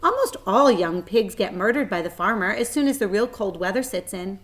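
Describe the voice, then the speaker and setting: A woman's voice: mid-range voice, expressive, a little fast, natural voice. A woman voicing a blunt, gossipy, snappish old female sheep in a children's storybook. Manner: blunt, matter-of-fact.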